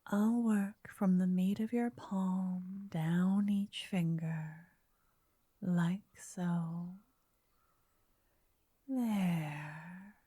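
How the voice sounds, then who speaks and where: A woman playing a gentle, flirty fortune teller in an ASMR roleplay, speaking softly in a breathy half-whisper, coaxing and soothing the listener up close. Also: on the low side, dry recording, exaggerated voice. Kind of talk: ASMR roleplay, intimate scripted address to listener. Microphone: close, dry microphone.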